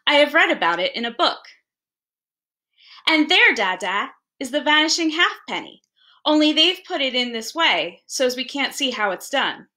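A woman's voice: sits high, sing-song, moderate pace, sharp tone, pitched above the performer's own voice, exaggerated voice. A woman voicing an eager, curious young boy in a storybook reading. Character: eager, curious young boy, wide-eyed and well-bred.

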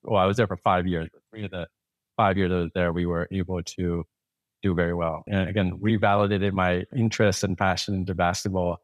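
A man with a lowish voice, recorded dry and close. He is a podcast guest who tells long, rambling stories full of restarts and fillers. He is in his forties and comes from Thailand. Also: fairly even intonation, brisk, no room sound. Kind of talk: casual interview storytelling.

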